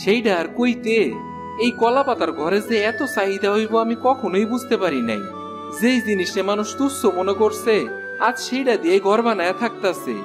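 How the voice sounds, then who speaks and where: A man's voice: sits high, expressive, normal speed, over music. A man with a rural Bangladeshi accent playing a humble, forgiving poor village husband in a cartoon, plain-spoken and deferential. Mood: obliging. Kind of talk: cartoon dialogue acting.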